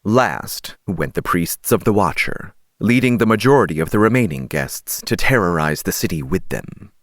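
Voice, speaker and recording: A man narrates a fantasy novel in a fairly low voice. The sound is dry, with no room around his scripted storytelling. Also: animated, normal speed, no room sound. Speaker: audiobook narrator.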